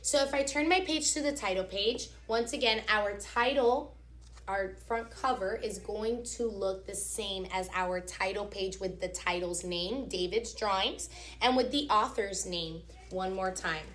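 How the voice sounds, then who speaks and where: A woman reading a picture book aloud as a teacher, expressively, pausing to explain things directly to young children. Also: medium pitch. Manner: expressive, instructive, addresses young listeners directly. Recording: echoey, reverberant room.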